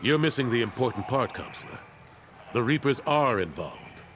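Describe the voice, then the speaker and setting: A man's voice: medium pitch, animated, normal speed, fairly bright tone, slight background noise, close to an everyday voice. An actor playing a firm, plain-spoken older military man turned politician in a video game. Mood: indignant. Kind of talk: video game dialogue acting.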